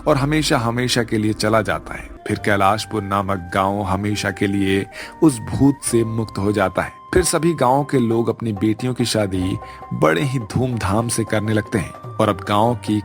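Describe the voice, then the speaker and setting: A man's voice: fairly low pitch, moderate pace. A man narrating a scripted cartoon story as the storyteller. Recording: music under the voice.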